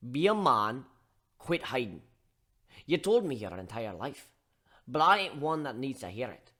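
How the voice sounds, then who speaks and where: A man voicing a gruff, scolding barkeep who gives blunt tough-love lectures in folksy slang in a dramatic story reading. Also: medium pitch, sing-song, unhurried, sharp tone, full character voice. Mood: firm, advising.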